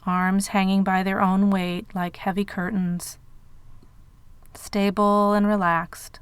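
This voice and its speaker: Female meditation narrator, medium register, very pure resonant tone, dry studio-like sound, measured delivery with space between phrases. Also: mid-range voice, flat delivery, clean tone, no echo. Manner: measured, unhurried phrasing with pauses. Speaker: meditation guide.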